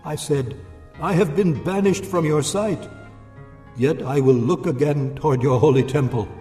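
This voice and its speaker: A man's voice: mid-range voice, mellow, lightly acted. A man playing an anguished, fervent Hebrew prophet in a dramatized Bible reading, declaiming solemnly. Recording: echoey long reverb, faint music behind.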